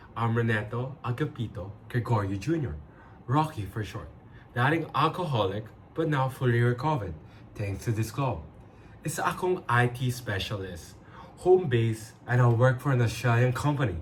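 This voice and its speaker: A man's voice: medium pitch, expressive, measured pace, close to an everyday voice. Man playing cocky, flirty Filipino jokester. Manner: cocky, playful. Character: boastful, flirty, jokey male IT specialist. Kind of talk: amateur dramatic reading. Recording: faint background noise.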